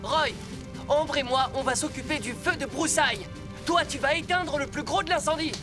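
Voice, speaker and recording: A man voicing a calm, encouraging young male rescue-vehicle team leader in a French children's cartoon dub. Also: extremely high pitch, normal speed, music in the background. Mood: commanding.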